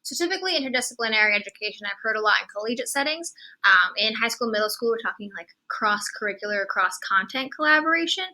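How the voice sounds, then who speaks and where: A young woman, founder of an educators' group, explaining in earnest, steady conversation. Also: a little high, fast talker, few pauses, rough recording.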